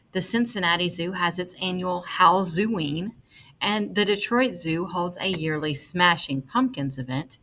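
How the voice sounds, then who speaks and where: A woman with a somewhat low voice narrates a written article aloud. Her phrasing is measured and deliberate. A faint noise sits behind the recording. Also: fairly bright tone. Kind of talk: scripted read-aloud narration.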